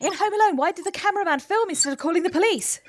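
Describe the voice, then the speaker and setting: A woman's voice: very high-pitched, very fast, noisy background, pitched above the performer's own voice. A woman playing a hapless, bemused office temp in an improvised comedy audio drama. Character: hapless female office temp reading silly questions aloud.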